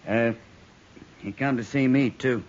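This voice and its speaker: An actor playing a plainspoken, honest freight hauler of the Old West with a folksy American Western drawl in a radio drama. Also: male voice, medium pitch, steady tone, measured pace, a little hiss or hum, close to an everyday voice. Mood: indignant. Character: plainspoken, indignant, honest frontier freight wagon man. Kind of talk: 1950s radio drama acting. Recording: muffled 1950s radio recording.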